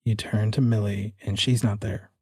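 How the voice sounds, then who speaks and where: Low-voiced man narrating a horror game. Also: steady tone, moderate pace, gravelly, dark tone, slightly rough, no echo, studio quality. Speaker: game master and show host.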